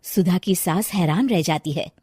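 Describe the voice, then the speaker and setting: A woman's voice: fairly low pitch, normal speed, mellow, breathy edge, no room sound, clean studio sound. A woman narrating a scripted story as its storyteller.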